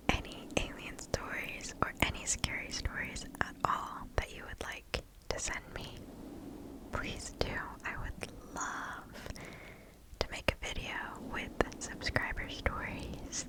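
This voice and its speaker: A woman hosting ASMR, reading and chatting in a soft, breathy, unvoiced whisper very close to the microphone. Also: rough recording. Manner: soft, slow, unhurried. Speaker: ASMR video host.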